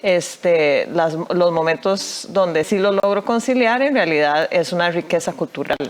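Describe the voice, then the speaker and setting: A woman's voice: on the low side, a little slow, a little hiss or hum. A young Costa Rican woman, a guest artist in a TV news studio interview, speaking thoughtfully with many fillers and restarts. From Costa Rica.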